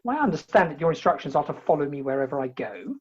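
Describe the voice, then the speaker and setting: Lady as a haughty puzzled gent, low and very husky, radio comedy, muffled call sound. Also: sing-song, fast talker, heavily acted. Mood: indignant, puzzled. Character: indignant, bewildered gentleman Fogarty. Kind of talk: amateur comic radio play acting. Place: home, recorded over a video call.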